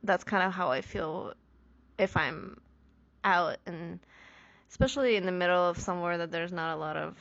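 A woman from Iran, a native Farsi speaker, talking reflectively and pausing to search for her words. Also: fairly low pitch, gravelly, dull audio. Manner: thoughtful, searching for words, many restarts. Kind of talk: reflective personal monologue.

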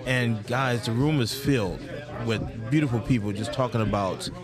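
A man's voice: mid-range voice, moderate pace. A man co-hosting a casual chat at an event. He sounds upbeat as he hypes up his guests and friends. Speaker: co-host. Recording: crowded room chatter.

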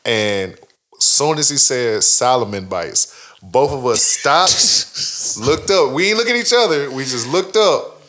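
A man co-hosting a podcast, telling stories in animated, slang-heavy casual banter. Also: medium pitch, takes it slowly. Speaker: podcast co-host.

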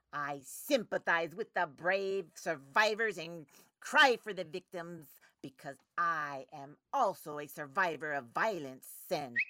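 A woman playing opinionated social media posters in an audio play, declaiming each post deliberately. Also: on the low side, pushed and bright, heavily acted. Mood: solemn. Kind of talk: audio play monologue, dictated posts.

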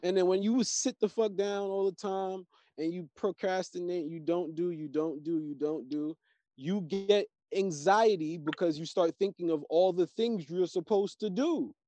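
A man in casual chat, animated and profane, riffing on his points with slang and repetition. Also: sits high, moderate pace.

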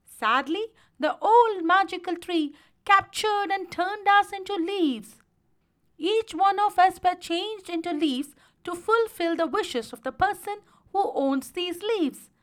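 Polite eldest dwarf, voiced by a woman, very animated, high, earnest, pleading, storybook fantasy. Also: heavily acted. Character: eldest male forest dwarf, polite, plaintive, eager to serve.

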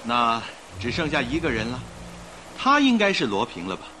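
An actor playing a confident, theatrical gentleman amateur detective in a radio drama, explaining things emphatically. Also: mid-range voice, huge pitch swings, normal speed, a little hiss or hum. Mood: deducing.

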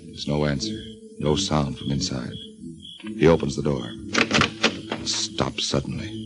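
Extremely deep-voiced male mystery narrator. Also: takes it slowly, over loud music. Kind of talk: scripted radio mystery narration.

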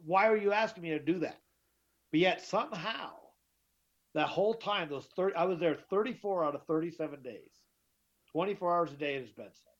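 A middle-aged man with a deeper voice, telling his story as an interview guest, emphatic and often repeating himself. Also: a little fast. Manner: emphatic, repeats and restarts words. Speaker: guest, author. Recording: dull lo-fi sound.

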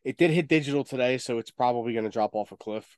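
A man's voice: mid-range voice, fast, dry recording. A man chatting casually as a podcast co-host, informal and rambling, given to swearing. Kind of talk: casual chat about video game movies.

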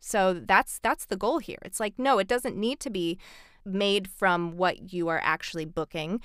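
A woman's voice: medium pitch, unhurried, fairly bright tone, smooth, no echo, clean studio sound. A woman co-hosting an instructional podcast, giving informal, emphatic advice in a conversational style. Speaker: podcast co-host, professional voice actor.

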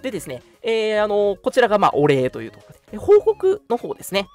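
A man's voice: sits high, wildly swooping pitch, fast talker, soft background music. A young man hosting an online radio show, making announcements in a polite, earnest, conversational way. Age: young adult.

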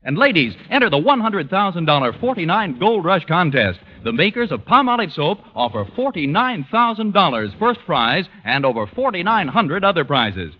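A man's voice: high-pitched, sing-song, normal speed, few pauses. An American man announcing a 1940s radio commercial with emphatic, polished sales delivery. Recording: dull old radio broadcast, long reverb.

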